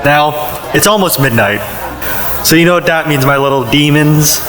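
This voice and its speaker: A man's voice: on the high side, moderate pace, close to an everyday voice. Man playing a sly, echoing party master. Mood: teasing, commanding. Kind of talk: contemporary audio play acting. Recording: echoey, with music and crowd behind.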